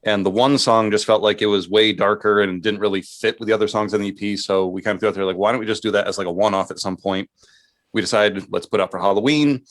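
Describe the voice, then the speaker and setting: A man with a lowish voice talks casually as a musician being interviewed. He recounts things in a loose, conversational way. The sound is very dry, with no room around it. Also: fairly even intonation, fast, no room sound. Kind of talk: casual chat, recounting band history.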